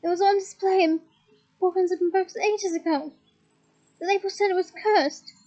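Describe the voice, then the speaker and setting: A woman reading aloud, voicing an urgent teenage boy who shouts for help and warns his friends. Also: very high-pitched, fairly even intonation, normal speed, lo-fi sound, pitched above the performer's own voice. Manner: urgent, shouting over wind.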